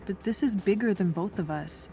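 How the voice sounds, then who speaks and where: A woman's voice: medium pitch, normal speed, warm tone, faint music behind, natural voice. A woman voicing a tough, smart young scientist in a video game, dry and matter-of-fact. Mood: reflective, resolved. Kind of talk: video game voice acting, naturalistic dialogue.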